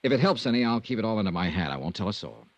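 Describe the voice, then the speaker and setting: An actor playing a wry, unflappable insurance investigator in old-time radio detective style, dry and laconic. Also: male voice, on the low side, big pitch swings, very fast, no room sound. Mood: wry, relaxed. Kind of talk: old-time radio detective acting, first-person narration. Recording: muffled vintage radio recording.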